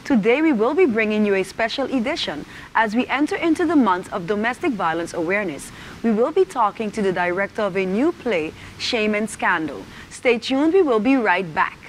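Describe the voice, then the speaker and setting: A woman's voice: medium pitch, lively intonation, fairly quick, poor audio. A woman hosting a talk show, introducing and questioning in a conversational interview style. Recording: faint background noise.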